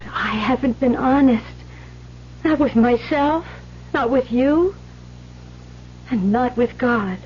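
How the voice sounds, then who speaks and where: High-pitched contrite young nun, earnest, hesitant, pleading, vintage radio drama, muffled sound. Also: female voice, slow, long pauses. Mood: troubled, resolute. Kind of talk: old-time radio drama acting. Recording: muffled, noisy old radio recording with hum.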